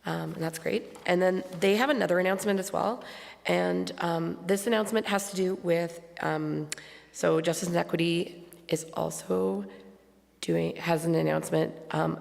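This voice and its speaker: Woman, hoarse and heavily gravelly, lowish pitch, casual announcer at a congregation gathering. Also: fairly even intonation, moderate pace, a little mellow. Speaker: congregation board member making announcements. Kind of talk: informal spoken church announcements. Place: large church sanctuary. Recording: long hall reverb from church sound system.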